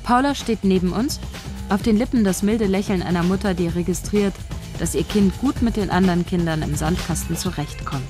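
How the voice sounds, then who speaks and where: An actress playing a shy young writer who narrates her own story in a radio drama, measured, wry and observant. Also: medium pitch, over music, natural voice. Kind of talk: intimate first-person radio drama narration.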